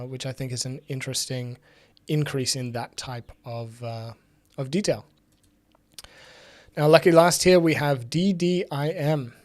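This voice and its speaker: A young man with a slightly raspy voice, recovering from illness, hosting a casual live tech stream from a home office. He thinks aloud with many fillers and drops in dry, sarcastic asides. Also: mid-range voice, a little mellow. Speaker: livestream host. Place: home office with bookshelves, indoors.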